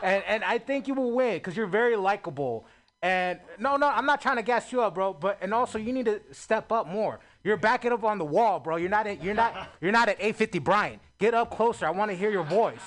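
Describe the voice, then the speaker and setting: A man with a high voice gives feedback to a comic. He talks in a chatty, brotherly way and calls him "bro" over and over. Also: lively intonation, fairly quick, a bit bright. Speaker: comedy show judge. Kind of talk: giving feedback.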